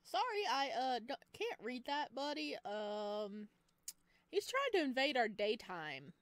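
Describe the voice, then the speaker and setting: A woman reading a visual novel aloud as a let's player, expressive, with casual chatty reactions. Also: sits high, slow pace, dry recording. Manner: expressive, exclaims and chats between read passages. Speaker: video game let's player.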